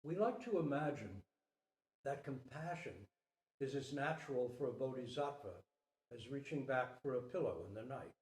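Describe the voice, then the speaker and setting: A man speaking as a storyteller and teacher, with a theatrical, measured delivery. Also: mid-range voice, lively intonation, darkish tone. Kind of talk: dramatic story reading and spoken teaching. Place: talk at a Zen center retreat. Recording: roomy echo, distant microphone.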